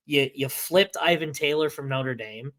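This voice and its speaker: A man co-hosting a casual sports podcast, hoarse and losing his voice after being sick, talking in halting bursts full of restarts. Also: fairly high pitch, a bit bright. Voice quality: halting, many restarts and fillers. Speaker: podcast co-host.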